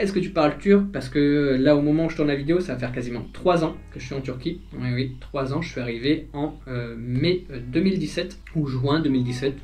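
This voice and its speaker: A man's voice: a little high, a little mellow. Man from northern France, casual vlogger chat, hesitant, many fillers. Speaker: vlogger answering viewer questions.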